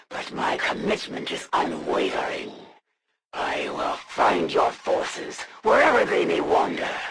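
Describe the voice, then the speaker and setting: A woman playing a proud, menacing alien assassin in a video game, speaking in a formal, solemn way with a gravelly rasp. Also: deep voice, a bit bright, exaggerated voice. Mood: solemn defiance. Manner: formal, solemn, haughty, deliberate delivery. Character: proud female alien assassin, honor-bound, menacing, serving the Elders. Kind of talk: theatrical video game villain lines.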